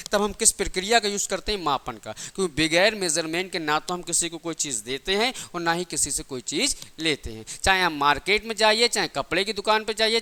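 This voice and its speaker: A man's voice: high-pitched, bright, forceful tone, clear, resonant tone. A man teaching a class, asking questions and answering them himself, repeating key points in simple everyday examples. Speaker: physics teacher for exam coaching.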